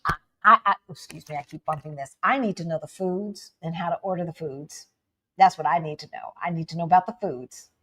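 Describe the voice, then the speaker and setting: Animated woman chatting on a livestream. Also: deep voice, brisk, husky. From United States. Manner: animated, laughing, addresses audience as y'all. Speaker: livestream co-host. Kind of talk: casual livestream talk.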